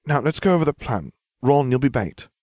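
A man's voice: mid-range voice, expressive, brisk, darkish tone, no room sound, studio quality, voice pushed up, lightly acted. A man voicing a smug, theatrical, scheming schoolchild in an audiobook, glib and mock-grave. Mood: bossy.

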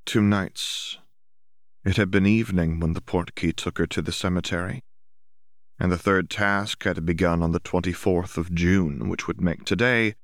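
A man's voice: sits low, steady tone, normal speed, no echo, studio quality. A man reading scripted literary fiction as an audiobook narrator.

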